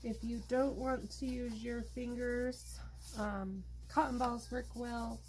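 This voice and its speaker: A woman hosting an art tutorial, explaining her steps in a relaxed, chatty way while she works. She is losing her voice. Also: on the high side, slow pace, poor audio. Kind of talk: casual step-by-step craft demonstration.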